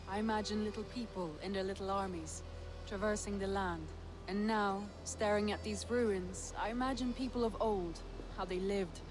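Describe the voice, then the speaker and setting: An actress playing a playful, adventurous Norse woman in a video game, teasing, eager and expressive. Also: medium pitch, noisy background, natural voice. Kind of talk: video game dramatic acting.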